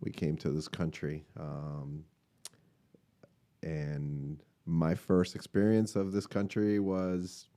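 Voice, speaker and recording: A man from Cuba in his late forties, a restaurant owner telling his story as a guest, relaxed and hesitant with many fillers. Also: very deep voice, slow. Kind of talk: interview answers, personal story. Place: podcast room in a restaurant.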